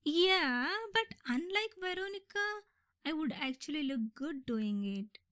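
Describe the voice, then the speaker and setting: A woman's voice: sits high, lively intonation, measured pace, a bit bright, no echo, clean studio sound. A woman playing an obsessive, melodramatic yandere sister in a comedic ASMR audio roleplay, rambling and exasperated as she talks to her captive. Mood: mocking. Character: indignant, obsessive yandere sister, theatrical comic kidnapper.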